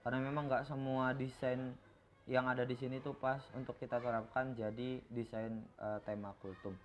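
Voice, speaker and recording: A man teaches in an informal tutorial style, with a mid-pitched voice. He explains haltingly and often repeats himself. The recording is muffled and lo-fi, with some background noise. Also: flat delivery. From Indonesia. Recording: dull lo-fi microphone, clear background noise.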